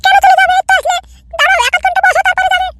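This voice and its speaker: Man as a squeaky, extremely high cartoon girl. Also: flat delivery, racing, very noisy. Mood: coaxing. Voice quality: squeaky, artificially thin.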